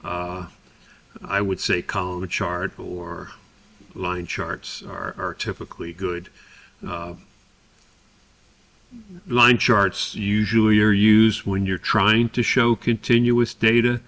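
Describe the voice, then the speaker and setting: A male instructor with a low voice and a gravelly fry talks through an on-screen software lesson. His delivery stays quite flat as he explains each step and thinks aloud. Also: slow pace, long pauses, slight background noise. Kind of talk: spoken screen-recorded software tutorial.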